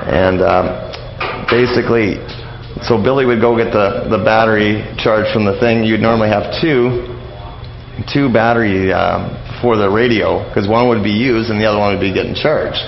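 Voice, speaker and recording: A man telling anecdotes casually as an interview guest, in a rambling, halting way. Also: lowish voice, steady tone, gravelly. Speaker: interview guest, old-time radio enthusiast. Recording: roomy, slightly dull radio recording.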